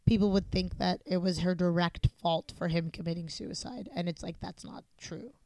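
A woman's voice: lowish voice, steady tone, darkish tone, dry recording. A young woman co-hosting a podcast, chatting casually and jokingly while watching a movie. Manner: chatty, quick to react, joking. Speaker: podcast co-host. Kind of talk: casual movie commentary chat.

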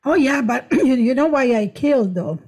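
A middle-aged woman with a high-pitched voice and a foreign accent speaks English as a later language. She tells stories casually as a comedian being interviewed, haltingly, often doubling back on words and phrases. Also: expressive, a little mellow. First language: not English, which is a third language. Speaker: stand-up comedian, interview guest. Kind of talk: casual conversational storytelling with jokes.

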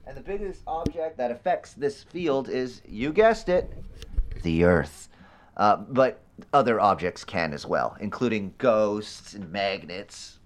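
A man playing an awkward, scrappy amateur ghost hunter and podcaster, talking in a halting, casual, rambling way. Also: sits low, fairly bright tone, heavily acted. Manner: halting, casual, explains gear earnestly. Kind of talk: naturalistic audio drama acting, rambling informal narration.

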